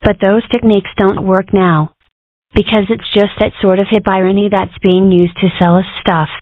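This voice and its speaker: A woman's voice: mid-range voice, little pitch movement, moderate pace, no room sound, natural voice. A woman voicing an opinionated, philosophical ten-year-old girl in a cartoon comic dub, earnest and wordy. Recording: muffled band-limited audio.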